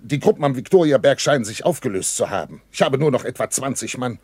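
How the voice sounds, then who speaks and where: An actor playing a worried, dutiful German army captain in a 1960s radio play, earnest and formal with superiors. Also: male voice, medium pitch, expressive, fast, darkish tone, breathy edge, dry recording. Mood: gloomy, anxious. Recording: dry early 1960s radio studio.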